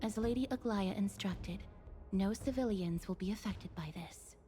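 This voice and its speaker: Actress as solemn young maiden, gentle, formal, middle register, soft-spoken, video game score behind. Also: female voice, mid-range voice, moderate pace, soft background music, close to an everyday voice. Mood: calm. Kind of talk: video game drama acting.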